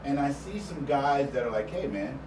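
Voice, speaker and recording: A man's voice: medium pitch, animated. A man hosting a casual interview, talking conversationally and often repeating words as he works toward his point. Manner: halting, repeats words while forming questions. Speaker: interview host. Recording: roomy, distant mic, noisy background.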